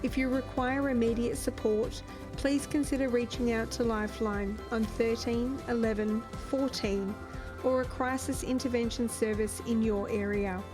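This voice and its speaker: A woman's voice: fairly high pitch, steady tone, moderate pace. Woman host with music behind. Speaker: podcast host. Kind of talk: scripted intro then interview.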